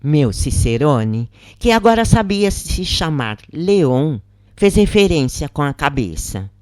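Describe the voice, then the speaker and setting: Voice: mid-range voice, sing-song, slow, a little mellow, no echo, clean studio sound. A narrator reading a scripted first-person story.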